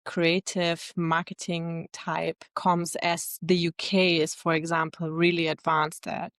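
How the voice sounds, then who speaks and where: A woman in her thirties, talking in a fairly low voice about her own path. She sounds reflective and laughs easily. The sound is dry and close to the mic. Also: little pitch movement, a little slow, a bit bright, dry recording. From Germany. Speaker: guest, LinkedIn expert. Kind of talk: casual interview chat.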